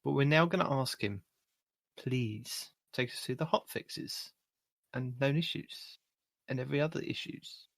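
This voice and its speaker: A man co-hosting a gaming podcast, reading announcements in a plain, steady way. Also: medium pitch, unhurried, vocal fry, dry recording. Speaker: podcast co-host.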